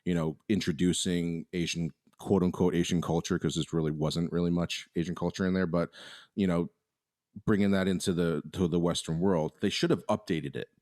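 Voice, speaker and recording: A man's voice: sits low, steady tone, normal speed, a little mellow. A man co-hosting a podcast, talking in a casual, opinionated way with many restarts. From United States. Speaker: podcast co-host.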